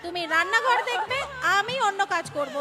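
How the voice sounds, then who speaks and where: A woman's voice: very high voice, animated, fairly quick, loud music behind the voice. A woman playing a brash young job-seeking maid who is cheeky, bossy and impatient in a dramatised play reading. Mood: brisk, cheeky.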